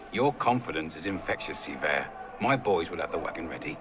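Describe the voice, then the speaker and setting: Brief: a sneering criminal schemer, very low voice, dry mockery, noisy game-cutscene ambience. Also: male voice, lively intonation, moderate pace. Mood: sardonic, sneering. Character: sardonic scheming male criminal conspirator, contemptuous. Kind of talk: video game cutscene acting.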